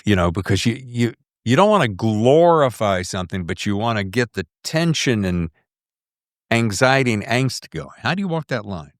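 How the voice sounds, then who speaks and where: A man's voice: medium pitch, no echo, studio quality. A man hosting a casual podcast interview, talking animatedly and slipping into acting out his own thoughts. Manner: animated, acts out inner monologue. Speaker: podcast host interviewing an author.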